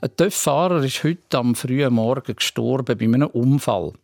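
Swiss German male newsreader, low creaky voice. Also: moderate pace, darkish tone, soft background music. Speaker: regional radio news presenter. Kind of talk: scripted news reading.

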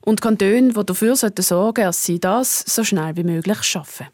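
A woman's voice: mid-range voice, moderate pace, a little mellow, no room sound, studio quality. A woman with a Swiss German accent reading a scripted radio news report.

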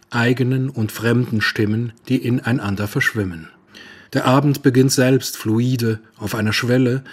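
Husky man reading a culture report. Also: medium pitch. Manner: measured, literary delivery. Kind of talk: scripted narration.

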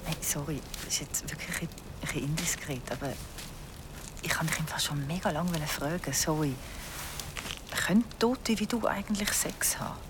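A woman's voice: deep voice, normal speed, natural voice. An actress playing a curious, awkward young woman in an audio drama, hesitant and apologetic. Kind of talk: naturalistic audio drama dialogue. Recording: clearly noisy background with clicks.

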